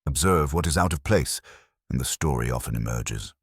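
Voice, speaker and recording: A man voicing a calm, methodical police inspector in a mystery story, speaking formally and precisely. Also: rumbling low, moderate pace, breathy edge, no room sound, studio quality, heavily acted. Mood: instructive. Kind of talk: acted dialogue in mystery narration.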